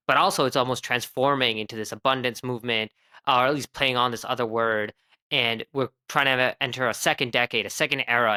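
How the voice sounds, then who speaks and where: A man on a podcast with a very bright, resonant voice. He thinks aloud in a reflective way, with plenty of "you know"s. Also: medium pitch. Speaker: podcast co-host. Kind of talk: casual chat.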